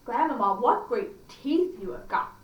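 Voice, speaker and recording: Woman as a high-voiced, wide-eyed little fairy-tale girl. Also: expressive, a little slow, reverberant, distant mic, muffled recording. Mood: astonished.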